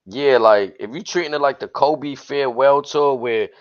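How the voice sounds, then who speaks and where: A man co-hosting a casual wrestling talk stream, his voice a bit hoarse and raspy from yelling, with relaxed slangy banter. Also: medium pitch, moderate pace, no echo. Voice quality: slightly raspy. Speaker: wrestling show co-host. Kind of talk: casual livestream chat. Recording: dull dry lo-fi stream audio.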